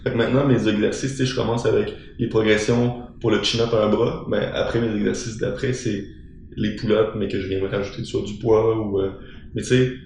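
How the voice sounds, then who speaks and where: A man's voice: on the low side, steady tone, brisk, vocal fry, a little mellow, a little hiss or hum. A young man from Belgium, a calisthenics trainer being interviewed, explaining at length with many fillers and restarts. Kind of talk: casual interview talk. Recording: very echoey room.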